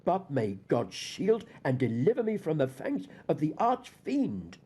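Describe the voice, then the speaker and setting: A man's voice: on the high side, huge pitch swings, unhurried, mellow, husky, voice pushed up, heavily acted. A man performing a deranged, guilt-haunted murderer's confession in a theatrical, formal gothic audiobook reading. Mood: frenzied horror. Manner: theatrical, formal, dramatic emphasis.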